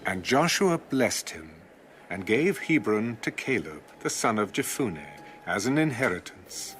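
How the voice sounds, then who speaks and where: An actor playing a solemn narrator in a dramatized scripture reading, stately and declamatory. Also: male voice, on the low side, sing-song, a little slow, fairly bright tone, a little hiss or hum. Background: music.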